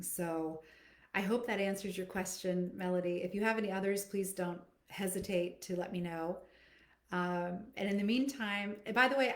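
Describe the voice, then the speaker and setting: Echoey, lower-voiced middle-aged woman coach. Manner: reflective, explanatory, addresses listeners directly. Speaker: voice coach and therapist hosting a live Q&A. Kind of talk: conversational live Q&A, answering audience questions. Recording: echoey, roomy sound.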